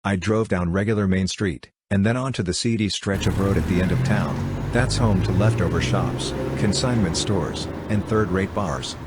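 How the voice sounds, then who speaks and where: A man's voice: low voice, normal speed, hardly any pauses, very noisy, close to an everyday voice. A man narrating a dramatized detective story in first person as a writer who documents a chief's cases, in a dry, wry manner.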